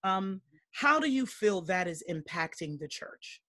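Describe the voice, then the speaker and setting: A woman's voice: fairly low pitch, sing-song, unhurried, no echo, clean studio sound. A middle-aged woman interviewing her pastor brother over a video call, with many affirming interjections. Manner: warm, enthusiastic, frequent affirmations. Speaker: interviewer, church member. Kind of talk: casual church interview. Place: home room with teal wall.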